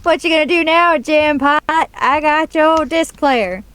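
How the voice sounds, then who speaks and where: A woman's voice: sits high, slow, a bit bright. A giggly woman teasing a fellow player in casual game chat. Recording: noisy voice chat with clicks.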